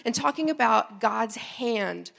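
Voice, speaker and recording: A woman's voice: a little high, animated, slow, creaky, fairly bright tone. A woman in her forties with a summer cold, preaching a humorous storytelling sermon into a handheld mic in a church. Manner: self-deprecating, chatty humor. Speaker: guest preacher. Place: church sanctuary.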